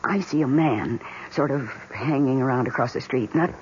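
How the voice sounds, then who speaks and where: A woman's voice: rumbling low, normal speed, darkish tone, a little hiss or hum. An actress in her thirties playing a doting, dreamy woman who talks to her plants, gushing and flustered, in a vintage radio drama. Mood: fluttery. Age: 30s. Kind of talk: 1970s radio drama acting. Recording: dull lo-fi vintage radio broadcast.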